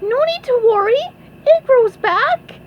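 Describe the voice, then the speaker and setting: Lady doing a squeaky excitable little cartoon sponge guy, super high and bouncy, bit lo-fi. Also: measured pace, heavily acted. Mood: excited, triumphant. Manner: excitable, exclaiming. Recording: dull lo-fi, faint background noise.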